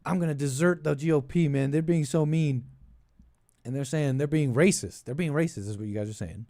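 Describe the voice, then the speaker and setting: A man hosting a casual call-in show, thinking aloud in a halting, repetitive, meandering way. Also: fairly high pitch, mellow, no room sound, clean studio sound. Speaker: podcast and call-in show host. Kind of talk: rambling casual political commentary.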